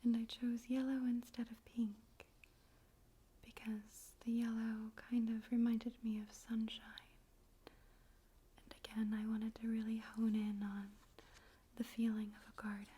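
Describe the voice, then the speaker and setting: Woman, ASMR host, very breathy whisper, mellow tone, gentle careful phrasing, soft poetry-reading style. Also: on the high side, completely flat delivery, unhurried. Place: room in a home in daytime.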